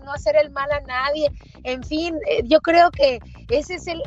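High, husky Mexican woman host. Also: lo-fi sound. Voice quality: husky, hoarse-edged voice. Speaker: show host. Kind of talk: conversational radio-style talk.

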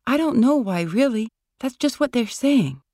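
A short-story reader puts on a high, soft-natured, boyish man. He is earnest and eager to please, forever reassuring. The sound is dry, with no room around it. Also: lively intonation, normal speed, dry recording. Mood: timid, puzzled. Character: gentle, boyish, eager-to-please male celebrity on the run.

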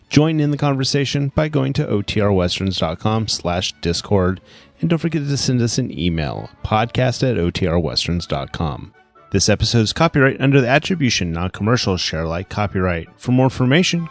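A man hosting a podcast, reading a scripted, upbeat outro. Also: medium pitch. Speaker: podcast host.

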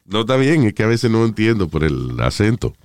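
A man's voice: lowish voice, very animated, brisk, a little mellow. A man hosting a casual talk show, rambling and joking with crude asides. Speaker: show host. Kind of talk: casual studio banter.

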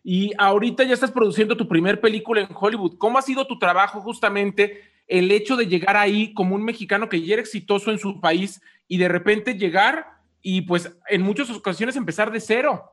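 High-voiced man asking measured questions. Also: fairly bright tone. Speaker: co-host. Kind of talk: interview questions.

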